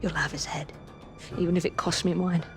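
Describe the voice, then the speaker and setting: A woman's voice: on the low side, steady tone, fairly quick, music in the background, natural voice. An actress playing a desperate, headstrong woman assassin in a video game, fierce and urgent. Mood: fierce vow. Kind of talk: dramatic video game acting.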